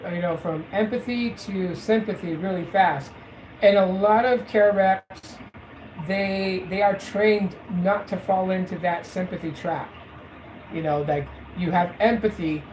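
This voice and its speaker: A man hosting a livestream, rambling in a loose, repetitive way and drifting between louder and quieter stretches. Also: sits high, a little hiss or hum, rough recording. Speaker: livestream host. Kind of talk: casual livestream rant.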